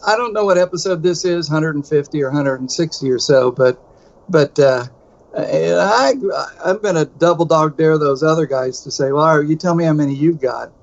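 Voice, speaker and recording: A man's voice: fairly high pitch, normal speed, creaky, lo-fi sound. A middle-aged man with a Texan accent, co-hosting a podcast in a casual, rambling way that jokes at his own expense. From United States. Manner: rambling, self-deprecating, restarts often. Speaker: podcast co-host.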